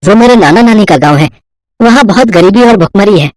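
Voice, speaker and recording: Man acting an eager, high-pitched young village man. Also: lively intonation, brisk, no room sound. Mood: earnest. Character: kind, earnest young poor village man. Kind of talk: Hindi animated moral story acting.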